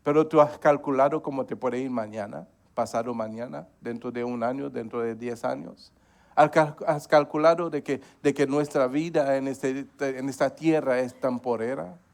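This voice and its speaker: A man preaching a sermon, punctuating his points with amens, rising in emphasis and then dropping low. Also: moderate pace, creaky, a little mellow. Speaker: pastor.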